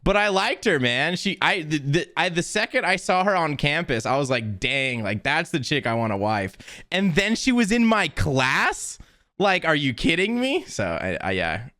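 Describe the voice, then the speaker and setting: A man's voice: fairly high pitch, very animated, normal speed, sharp tone, no room sound, studio quality. A man in his twenties telling personal stories in casual, slangy chat, often laughing as he talks. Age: 20s. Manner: laughs while talking, informal slang.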